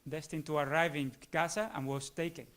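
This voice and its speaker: Halting, formal man asking a long question. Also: fairly high pitch, fairly even intonation, a bit bright. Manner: formal, halting, searching for words. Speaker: audience member asking a question. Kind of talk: audience Q&A question.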